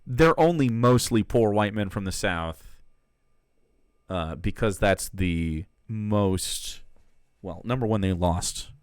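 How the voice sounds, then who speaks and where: A man's voice: lowish voice, expressive, takes it slowly, smooth, no echo, clean studio sound. A man who streams political commentary, reacting to clips in a casual, sarcastic and profane way, laughing between remarks.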